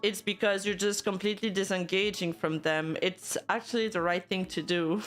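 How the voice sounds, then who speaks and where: A young Canadian woman streams casually, reacting to news and bantering with her chat in a middle-pitched voice. She laughs often and playfully denies whatever the chat teases her about. Soft music plays faintly behind her. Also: fairly even intonation, moderate pace. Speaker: VTuber commentary streamer.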